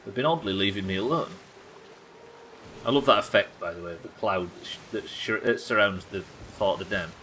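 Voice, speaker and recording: A man's voice: lowish voice, normal speed, pushed and bright, a little hiss or hum, low-quality recording. An English man doing casual live game commentary, sweary and jokey, bantering and reading out chat at his desk. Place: indoors at a desk.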